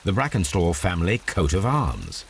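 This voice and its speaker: Game role: brilliant, aloof Victorian detective, British, deep voice, lecturing formality, noisy background. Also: male voice, huge pitch swings, moderate pace, creaky, exaggerated voice. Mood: aloof, analytical. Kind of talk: scripted video game acting.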